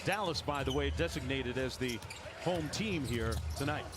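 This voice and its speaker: A man's voice: medium pitch, normal speed, very noisy. A man doing live play-by-play commentary of a basketball game for television. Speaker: play-by-play announcer.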